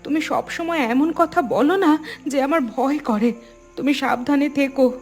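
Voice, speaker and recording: A woman's voice: sits high, unhurried, soft background music. A woman voicing the worried, hurt wife of a poor fisherman in a cartoon, plaintive. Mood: anxious. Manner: aggrieved, anxious, plaintive. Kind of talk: scripted cartoon dialogue.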